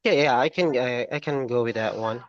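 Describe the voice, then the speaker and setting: A man's voice: medium pitch, brisk, highs cut off, a little hiss or hum. A man playing the prospective client on a sales call, talking casually and hesitantly, often repeating words as he agrees. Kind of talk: casual phone conversation.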